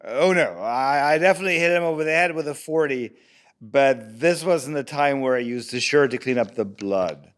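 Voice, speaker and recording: A man's voice: medium pitch, moderate pace, fairly bright tone, smooth, close to an everyday voice. An actor playing a defensive, abusive deadbeat father under interrogation in an audio drama, evasive, rambling and profane. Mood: hazy, evasive. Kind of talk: audio drama table read.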